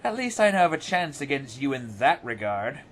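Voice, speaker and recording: A man's voice: medium pitch, big pitch swings, normal speed, a bit bright. A man playing a wry, self-assured, wordy agent in an audio drama. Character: wry, self-assured male agent, loyal, dryly teasing. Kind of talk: fan audio drama acting. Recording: faint background noise.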